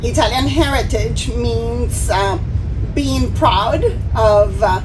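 A woman teacher in her forties, from the Dominican Republic, answering interview questions with many pauses and fillers. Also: fairly high pitch. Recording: distant mic with clear noise.

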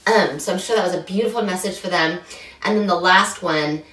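A young woman with a slightly rough, hoarse voice talks through a scripture lesson in a chatty, animated way. Her voice sits a little low but sounds bright and forceful. It is caught from some distance in an echoey room at home. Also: a little fast. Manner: chatty and animated, gesturing a lot. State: hoarse after illness. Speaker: scripture study host. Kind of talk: casual scripture lesson to camera.

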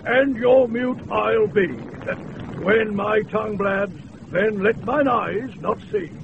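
Gravelly hearty sea captain. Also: male voice, sits high, slow, exaggerated voice. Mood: amused, loyal. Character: hearty, amused sea captain, loyal helper. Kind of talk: classical Shakespearean radio acting. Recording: muffled noisy off-air radio recording.

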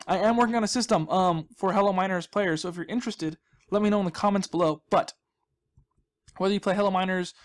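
Male gaming host, slightly high register. Chatty, jokey, self-deprecating, loose off-the-cuff play commentary. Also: quick pace. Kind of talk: casual rambling gameplay commentary.

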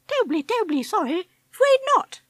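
Fweddy, a foppish upper-class parrot. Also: male voice, very high-pitched, very animated, brisk, a bit bright, no room sound, studio quality, voice pushed up, exaggerated voice. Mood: languid. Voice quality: affected plummy drawl.

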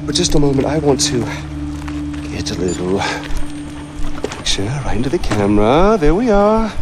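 A man playing a middle-aged, theatrically polite and volatile dictator in a video game, British accent, precise drawn-out phrasing and mocking courtesy. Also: mid-range voice, sing-song, close to an everyday voice. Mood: gleeful, breezy. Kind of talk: video game cinematic acting. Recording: loud music behind.

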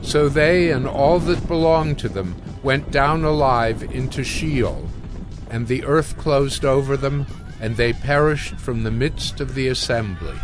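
A man with a medium-pitched voice narrates a dramatized scripture text. His delivery is measured and solemn, and music runs faintly underneath. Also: lively intonation.

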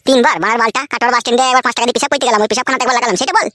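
A man's voice: extremely high pitch, fairly even intonation, very fast, pushed and bright, slight background noise. A man voicing a brazen, irritable village husband in a comedy cartoon, bickering crudely in a rural West Bengal accent. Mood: brazen, annoyed. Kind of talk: comedy cartoon dub, quarrelling.

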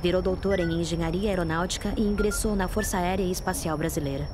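An actress playing a female narrator of a space saga, measured and dramatic, with a Brazilian accent. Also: fairly low pitch, steady tone, background noise, close to an everyday voice. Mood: wry. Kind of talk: scripted narration.

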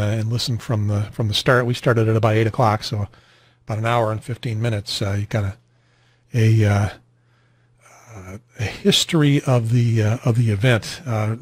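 An American man in his sixties hosting a radio net in casual chat, rambling and halting, on a close mic in a small home room. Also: mid-range voice, no echo. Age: 60s. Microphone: close boom studio mic, headset on.

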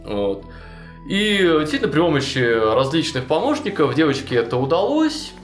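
A man's voice: on the high side, normal speed. Young man retelling a story in a roomy room. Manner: animated, lots of fillers and restarts. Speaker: storyteller recounting a tabletop game campaign. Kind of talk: casual retelling to a co-host. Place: small indoor studio with chalkboard wall. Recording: roomy echo, faint music behind.

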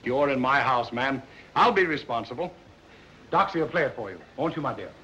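An actor playing an eloquent colonial Virginia patriot in a theatrical 1930s film style, declaiming with precise diction. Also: male voice, mid-range voice, lively intonation, fairly quick, bright, forceful tone, highs cut off. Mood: genial, reassuring. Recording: noisy 1930s film soundtrack.

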